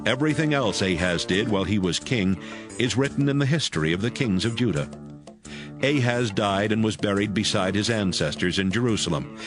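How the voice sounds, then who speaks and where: A man's voice: fairly low pitch, animated, a little fast. A man narrating a dramatized audio Bible as a scripted storyteller. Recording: music under the voice.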